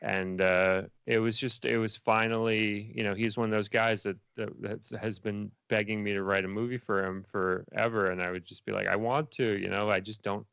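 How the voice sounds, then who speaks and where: A man's voice: fairly low pitch, level tone, normal speed, creaky, hardly any pauses, fairly bright tone, clean tone, no room sound. A man speaking casually as an interview guest, a filmmaker who often repeats phrases as he builds his thoughts.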